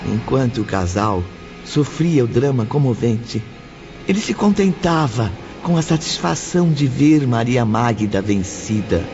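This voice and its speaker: A man's voice: medium pitch, sing-song, unhurried. A man narrating a dramatic audiobook in a scripted storytelling style. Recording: dull lo-fi, music behind.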